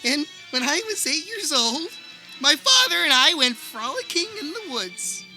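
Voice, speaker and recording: A woman's voice: high voice, very animated, unhurried, music in the background, rough recording. A woman playing an overwrought, haunted man telling his childhood trauma in a comedic radio play, drawn out and theatrical. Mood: solemn, haunted.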